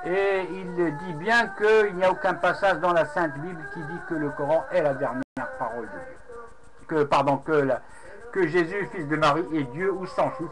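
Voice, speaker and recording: A man whose first language is Arabic recounts a religious debate into his webcam, pausing often with fillers and restarting his sentences. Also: on the high side. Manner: hesitant, many fillers and restarts. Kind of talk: unscripted webcam monologue. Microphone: webcam microphone. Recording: noisy home webcam recording.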